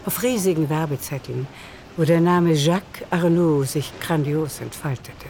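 A woman narrating a literary radio play in the third person, with measured composure. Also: low voice, dark tone, slight background noise. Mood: composed.

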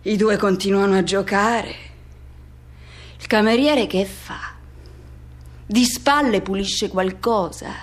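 A woman playing a lonely, self-effacing middle-aged man in an introspective, colloquial radio drama monologue with halting repetitions. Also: medium pitch. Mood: watchful, resigned.

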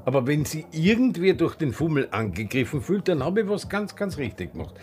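Sharp-tongued Austrian drag queen. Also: fairly high pitch, sing-song, a little fast, a little hiss or hum. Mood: defiant. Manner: theatrical, teasing. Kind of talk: naturalistic radio drama dialogue.

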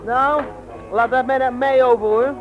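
Very high-voiced glib youth. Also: male voice, steady tone, moderate pace. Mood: glib, confident. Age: young adult. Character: glib, overconfident young petty schemer. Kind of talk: 1960s radio drama acting. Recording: dull old radio recording, music behind. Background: loud music.

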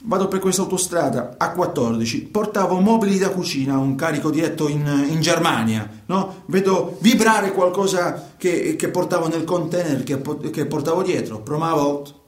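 An actor playing a troubled middle-aged truck driver, telling his story haltingly in a radio play. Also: fairly high pitch, animated, reverberant, natural voice. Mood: agitated. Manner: hesitant, halting, repetitive, colloquial. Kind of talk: theatrical monologue in a radio play.

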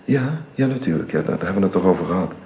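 A reluctant, awkward middle-aged husband in a radio drama. His voice is very low, halting and evasive. There is faint noise on the recording. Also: animated, warm tone, muffled recording. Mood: uneasy. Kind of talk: radio play acting.

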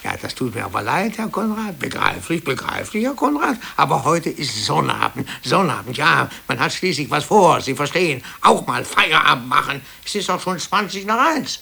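An actor playing an aggrieved, self-pitying clerk in 1950s radio drama, brooding, grumbling and repeating himself when agitated. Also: male voice, a little high, sing-song, normal speed, vocal fry, hardly any pauses, pushed and bright, slightly rough, full character voice. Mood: defiant, mock polite. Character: aggrieved, self-pitying male office clerk, resentful of his boss. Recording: hissy old 1950s radio tape.

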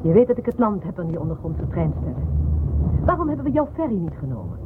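An actress playing a brusque, scheming and impatient commander in a science-fiction audio drama. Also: female voice, lowish voice, sing-song, fast. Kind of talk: science-fiction radio play acting. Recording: phone-like band, vehicle noise.

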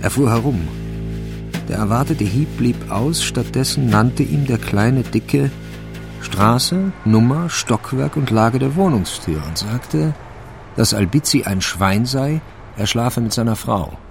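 A man reading scripted literary narration for a radio crime drama, with a slightly gravelly voice. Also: mid-range voice, expressive, slow, music in the background.

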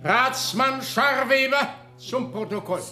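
An actor playing an officious, mocking official who declaims a grandiloquent satirical speech in a radio play. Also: male voice, very high-pitched, takes it slowly, sharp tone, full character voice. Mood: commanding. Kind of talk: German radio play acting. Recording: roomy, echoing radio studio sound.

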